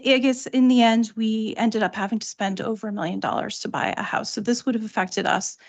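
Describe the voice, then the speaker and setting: A woman's voice: on the high side, darkish tone. A young woman with a cold and a fading voice, earnestly reading a prepared public comment at a meeting, with frequent ums. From Cape Cod, Massachusetts. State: has a cold, losing her voice.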